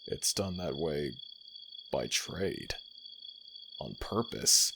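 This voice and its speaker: A man voicing an ancient jackal god of the dead in an ASMR audio roleplay, commanding yet tender, in a deep, slow, gravelly voice. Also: animated, noisy background, exaggerated voice.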